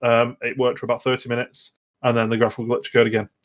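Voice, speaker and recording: A man's voice: mid-range voice, monotone, quick pace. A man hosting a casual gaming podcast, talking in a rambling, hesitant way that often repeats words. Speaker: podcast host.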